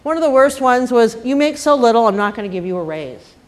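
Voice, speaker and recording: A woman's voice: on the high side, expressive, fairly quick. An older woman from Boston with a light Boston accent, speaking as a panelist and telling her own career stories in a wry, frank, self-deprecating way. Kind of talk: candid personal talk.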